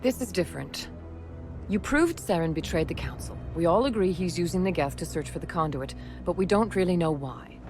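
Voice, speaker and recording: A woman acting a calm, dignified alien councilor in a video game, speaking in a formal, measured way. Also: fairly low pitch, over music. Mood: grave, measured.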